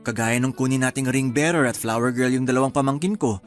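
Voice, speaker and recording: A male actor as a young fiancé in a Filipino radio drama, talking very quickly in a mid-range voice. He is sweet, eager and affectionate, with music playing underneath. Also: medium pitch, steady tone, lightly acted. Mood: easygoing, eager.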